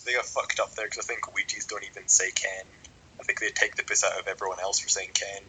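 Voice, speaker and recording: A man's voice: fairly low pitch, flat delivery, fast talker, pushed and bright, slightly rough, rough recording. A young man with a Scottish accent, joking and swearing in a casual podcast chat as co-host. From Scotland. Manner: joking, laughs often, swears freely.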